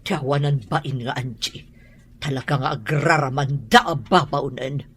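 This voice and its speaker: An actress playing a stern, disapproving older mother in an Ilocano radio drama, with an Ilocano accent and a husky voice. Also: extremely low pitch, a little slow, exaggerated voice. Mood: cold, insistent.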